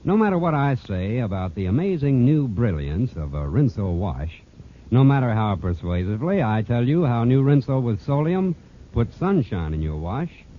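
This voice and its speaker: A male radio announcer reading a scripted 1940s commercial in a persuasive, emphatic way. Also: on the low side. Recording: tinny, noisy vintage radio recording.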